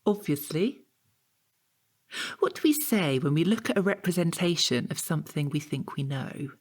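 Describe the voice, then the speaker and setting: Exacting, condescending female tutor for audio drama; formal, deliberate, slightly low, very expressive pitch. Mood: exacting, impatient. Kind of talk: naturalistic audio drama dialogue.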